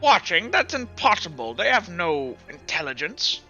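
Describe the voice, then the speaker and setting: A man's voice: high-pitched, very animated, moderate pace, pushed and bright, muffled recording, faint music behind, heavily acted. A man voicing a haughty, alarmed plague doctor in a tabletop game, questioning in a clipped, incredulous way.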